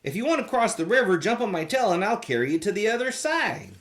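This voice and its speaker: Sly storybook fox, voiced by the reader, flattering and coaxing, with swinging intonation. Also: medium pitch, fast talker. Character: sly, flattering fox luring his prey. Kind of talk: storybook character voice.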